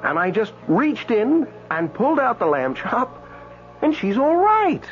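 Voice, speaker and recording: A man's voice: extremely high pitch, very animated, measured pace, faint music behind, heavily acted. An actor in a radio drama playing a giddy, eager ghost who rambles breathlessly through his stories. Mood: excited, eager. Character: excitable dead man, giddy eager ghost doing good deeds. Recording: noisy, dull old radio broadcast recording.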